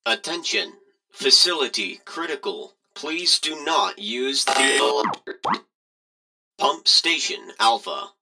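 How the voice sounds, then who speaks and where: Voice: mid-range voice, gravelly, pushed and bright, exaggerated voice, poor audio. An automated emergency announcement voice for a reactor facility in a game, reading scripted warnings in formal, clipped, robotic phrasing.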